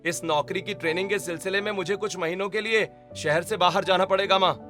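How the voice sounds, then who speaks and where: A man's voice: high-pitched, steady tone, a little fast, sharp tone, faint music behind. A man voicing a caring young son and husband in a cartoon story, earnest and concerned. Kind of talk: dramatic Hindi cartoon story acting.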